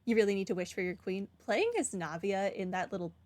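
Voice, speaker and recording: A woman's voice: medium pitch, fairly quick, a little mellow, no room sound. A young adult woman streaming a video game, giving casual, chatty playthrough commentary. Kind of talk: casual playthrough commentary, reading game dialogue options aloud.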